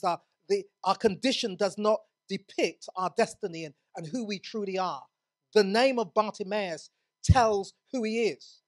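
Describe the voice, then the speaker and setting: A man from England preaching a sermon in a church, earnest, often restarting his words. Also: high voice.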